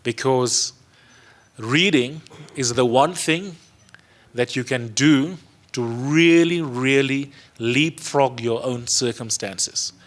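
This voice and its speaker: A man's voice: medium pitch, takes it slowly. Earnest South African man in his thirties. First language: Afrikaans. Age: 30s. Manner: earnest, emphatic, repeats words for stress. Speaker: panel speaker. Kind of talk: speech to a youth audience. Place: museum auditorium with a seated audience. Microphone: handheld mic.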